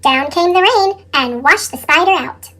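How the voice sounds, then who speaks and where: A woman's voice: animated, unhurried, pushed and bright. A woman reading children's picture books aloud expressively, her voice sped up with a chipmunk effect. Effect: sped-up chipmunk pitch effect. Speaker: storybook reader.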